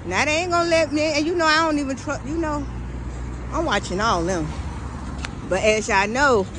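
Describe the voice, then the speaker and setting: A woman talks to her viewers in a loose, sassy walk-and-talk. She films on a handheld phone as she walks along a busy street. The passing traffic is loud in the recording. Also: high voice, moderate pace. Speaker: vlogger filming a walk. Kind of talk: casual walk-and-talk commentary. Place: outdoors by a busy suburban road. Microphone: phone camera held while walking. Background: passing car traffic.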